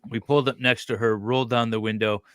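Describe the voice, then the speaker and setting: A man's voice: mid-range voice, little pitch movement, fast talker, fairly bright tone, smooth, dry recording. A man co-hosting a talk show, reading a document aloud and breaking off for casual, sardonic comments. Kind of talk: dramatic reading with casual asides.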